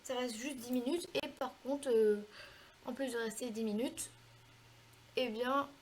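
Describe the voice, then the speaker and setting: A congested woman with a cold talks through a video game, her voice sitting a bit high. She chats casually and hesitates often, filling pauses as she plays along. A faint hiss runs underneath. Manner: chatty, hesitant, frequent filler pauses. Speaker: gaming video creator. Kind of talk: casual gameplay tutorial commentary.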